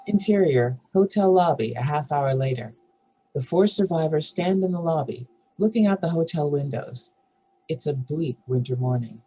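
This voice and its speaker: A woman's voice: sits low, animated, moderate pace, mellow, breathy edge, muffled recording. A woman reading stage directions as scripted narration for a drama table read.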